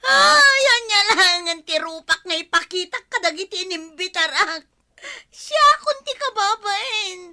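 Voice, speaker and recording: Boastful village wife, woman actor, Ilocano accent. Very high, animated, theatrical and quick to flare up. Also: heavily acted. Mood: outraged, wailing. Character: boastful, hot-tempered village wife planning a grand birthday. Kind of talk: comedy radio drama acting.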